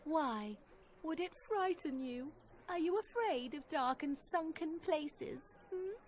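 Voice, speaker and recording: A woman's voice: high voice, animated, slow pace, a little hiss or hum. An actress playing a scornful young witch in a fantasy game, with biting condescension and archaic, formal phrasing. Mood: taunting. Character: scornful young witch, haughty, cold, mocking. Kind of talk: fantasy video game dialogue acting.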